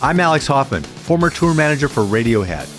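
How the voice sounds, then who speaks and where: A man reading a scripted podcast promo in a lively, playful way. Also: mid-range voice, a little fast, soft background music. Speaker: podcast co-host in an ad.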